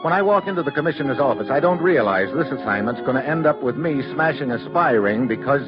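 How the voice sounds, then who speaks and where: An actor playing a tough, wisecracking American government agent in a wry, slangy old radio detective style. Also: male voice, mid-range voice, animated, fast, lightly acted. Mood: wry narrating. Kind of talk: 1950s radio detective acting with first-person narration. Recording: dull vintage radio recording, faint music.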